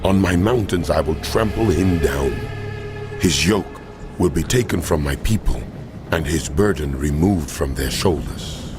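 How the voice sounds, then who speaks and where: Wrathful God in scripture audio drama: very low, commanding, over loud music. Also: male voice, measured pace. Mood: solemn wrath. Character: God, mighty wrathful lord pronouncing judgment.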